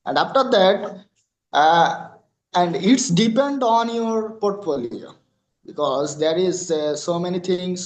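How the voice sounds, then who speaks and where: Bangladeshi man, Bengali native, guest answering questions; halting English, slow and deliberate, slightly high voice, echoey room. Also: darkish tone. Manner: halting non-native English with many fillers. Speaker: freelancer guest. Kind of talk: interview answers.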